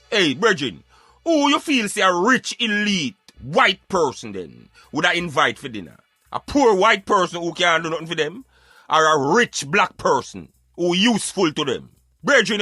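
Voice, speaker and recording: A Jamaican man with a fairly high, bright voice holds forth in thick Patois. He is emphatic and argumentative, preaching his opinions like a talk show commentator. The sound is close and dry, with music underneath. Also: husky, no echo. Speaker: radio show host.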